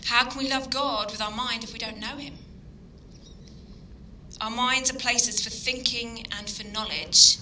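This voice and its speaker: A woman with a Yorkshire accent preaches an evening sermon in an earnest, halting way, with plenty of ums. Her voice is very bright and sharp. A faint hiss of noise sits behind her. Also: on the high side, fairly even intonation. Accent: Yorkshire English. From Yorkshire, England. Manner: earnest, hesitant with frequent ums. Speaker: guest speaker.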